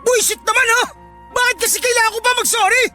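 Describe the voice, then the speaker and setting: Bullying spoiled brat, very high voice, fast snapping delivery, radio drama, snarling. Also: female voice, flat delivery, soft background music. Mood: whining, sulky. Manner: snarling, insulting, shouted outbursts. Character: bratty, abusive grown child bullying their own mother. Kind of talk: Tagalog radio drama acting.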